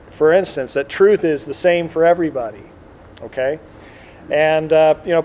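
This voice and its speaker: A man giving an informal religious talk to a gathering as a priest, reflective and halting, speaking up to be heard. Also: a little high, a little mellow. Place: indoor room with air conditioning. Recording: clear background air conditioner noise. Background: air conditioner noise.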